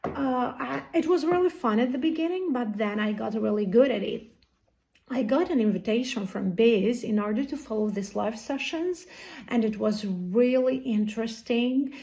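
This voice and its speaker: A woman's voice: on the high side, normal speed, creaky, warm tone. A woman from Albania, chatting cheerfully in a video testimonial. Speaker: mother and small entrepreneur.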